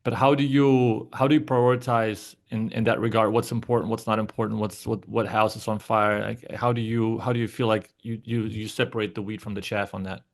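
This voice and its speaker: A man's voice: lowish voice, flat delivery, fairly quick, vocal fry, few pauses. A man hosting a podcast, asking long rambling questions full of restarts. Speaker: podcast host. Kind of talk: podcast interview.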